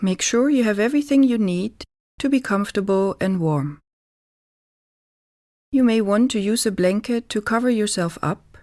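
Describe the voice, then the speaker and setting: A woman leads a guided meditation at a very unhurried pace. Her mid-pitched voice is calm and deliberate, leaving pauses between gentle instructions. The sound is close and dry, with no room echo. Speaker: yoga nidra meditation teacher.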